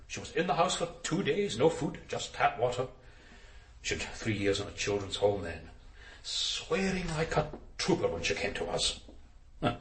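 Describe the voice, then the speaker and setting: A man's voice: mid-range voice, very animated, normal speed, a bit bright, reverberant. An actor playing a middle-aged, stiff and evasive adoptive father in a naturalistic radio drama. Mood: disapproving. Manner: prim, evasive, self-justifying.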